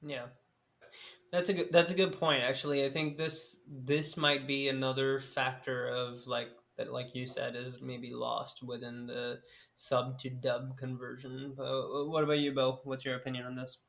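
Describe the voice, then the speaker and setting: A man's voice: medium pitch, level tone, normal speed, creaky, fairly bright tone. A man hosting a casual film podcast, talking with many false starts and restarts. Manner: hesitant, many restarts, laughs easily. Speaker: podcast host.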